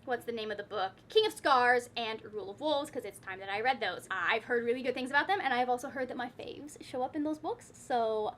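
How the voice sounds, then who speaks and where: A woman's voice: fairly high pitch, fairly quick, hardly any pauses, fairly bright tone, slight background noise. A woman hosting a book vlog in casual, chatty talk to viewers, often cutting herself off with wry asides. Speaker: book vlogger, host of her own channel.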